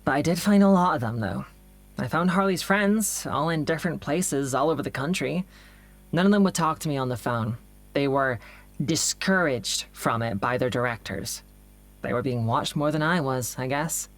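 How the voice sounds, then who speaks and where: A woman's voice: low-pitched, normal speed. A woman playing an anxious, persistent doctor who works in communications, hesitant and earnest in a naturalistic audio drama. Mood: weary, recounting.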